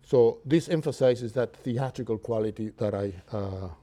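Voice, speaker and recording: Halting Cuban-accented male lecturer. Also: medium pitch, dark tone. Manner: halting, with many filler sounds. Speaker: literature lecturer. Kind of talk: university lecture.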